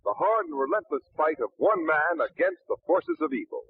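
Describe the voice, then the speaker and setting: Lively American 1940s radio announcer man. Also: fairly high pitch, normal speed, gravelly, slightly rough. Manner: formal, emphatic. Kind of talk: 1940s scripted radio narration. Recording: dull, noisy 1940s radio recording.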